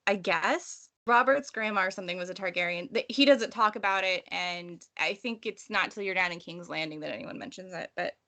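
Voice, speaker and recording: Bright-voiced woman, irreverent book chat. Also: lowish voice, a little fast, few pauses. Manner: irreverent, wry. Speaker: podcast co-host discussing a novel. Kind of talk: casual analytical book discussion.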